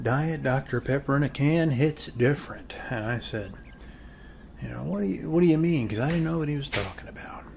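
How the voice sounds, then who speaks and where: A man playing a friendly, rambling middle-aged suburban neighbor in an ASMR role-play, with an American accent and a soft, hesitant, folksy delivery. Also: mid-range voice, gravelly, natural voice. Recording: faint steady background noise.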